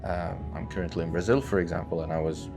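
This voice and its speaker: A man's voice: low voice, fairly even intonation, quick pace, vocal fry, music in the background. A man in his twenties talking earnestly in a loose, unscripted way, with many fillers and restarts, about his vision for entrepreneurship. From Syria, raised in Sweden. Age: 20s. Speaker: online entrepreneur. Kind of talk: talking-head motivational vlog.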